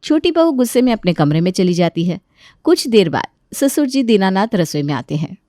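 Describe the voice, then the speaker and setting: A woman's voice: mid-range voice, very animated, brisk, mellow, dry recording. Woman storyteller in a dry studio sound. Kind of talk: scripted story narration.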